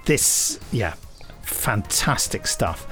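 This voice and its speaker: A man's voice: on the low side, very animated, extremely slow, fairly bright tone, faint music behind. A man hosting a podcast, presenting news in a casual, enthusiastic way. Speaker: podcast host.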